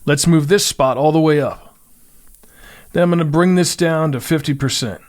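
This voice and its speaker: A man's voice: a little high, normal speed, a little mellow. A man hosting a music production tutorial, narrating step-by-step instructions in short, simple phrases.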